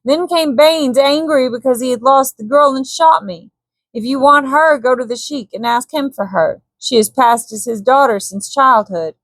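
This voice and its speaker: A woman's voice: high voice, normal speed, fairly bright tone, no room sound, voice pushed up, rough recording. A woman voicing a frightened, scheming Swedish villain in a storybook reading, pleading and making excuses. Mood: bargaining. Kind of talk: dramatic storybook character voice.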